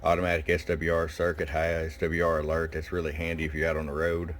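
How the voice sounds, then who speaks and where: Man, very low pitch, casual product review, folksy and unhurried, some background noise. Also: monotone. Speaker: shop owner presenting product. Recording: clear background noise and room ambience.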